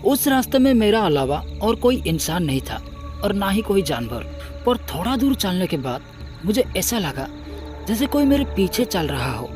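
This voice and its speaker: A man narrating a scripted first-person horror story in a dramatic, suspenseful way with deliberate pauses. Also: high-pitched, very animated, music in the background.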